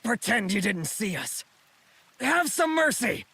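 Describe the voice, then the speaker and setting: An actor playing a brazen, scheming gang-leader swindler in a video game, boastful and wheedling in manner. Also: male voice, high-pitched, big pitch swings, measured pace, creaky, bright, forceful tone, breathy edge, exaggerated voice. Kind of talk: theatrical game cutscene voice acting.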